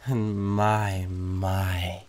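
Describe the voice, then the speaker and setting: A man's voice: deep voice, level tone, extremely slow, a little mellow. A British man playing a suave, condescending, flirtatious demon in a theatrical roleplay audio drama, with a haughty drawl. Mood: seductive.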